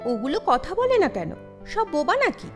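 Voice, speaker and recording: A woman's voice: sits high, wildly swooping pitch, normal speed, music in the background, pitched above the performer's own voice. A woman voicing a kind-hearted, motherly Bengali housewife who chides with affection in an audio story. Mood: teasing. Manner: colloquial, affectionate scolding.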